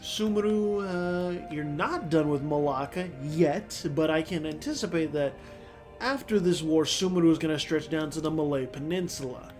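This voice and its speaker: A man's voice: on the high side, moderate pace, a little mellow, soft background music. Congested man musing over a strategy game. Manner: rambling, musing aloud with many fillers. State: sore throat and congested. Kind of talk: casual let's-play commentary.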